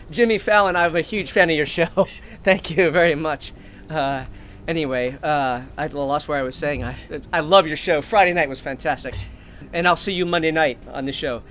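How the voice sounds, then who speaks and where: A man's voice: a little high, a bit bright, slight background noise. A man telling an improvised comic tall tale in a rambling, mock-earnest way, often breaking off and restarting. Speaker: comedic storyteller.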